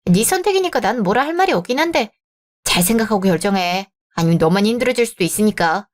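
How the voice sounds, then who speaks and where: A woman's voice: mid-range voice, animated, quick pace, lightly acted. Woman as a blunt young office friend. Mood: frank. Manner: blunt, advising. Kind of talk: radio drama acting.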